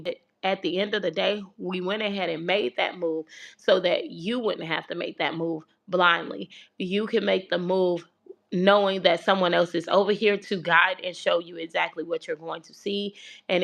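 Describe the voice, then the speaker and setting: A woman with a soft, childlike baby voice chatting casually to viewers on a livestream she hosts. She rambles, repeats herself and talks about her faith. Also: fairly low pitch, creaky. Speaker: host of a relocation livestream. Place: home abroad in Kenya. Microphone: phone livestream.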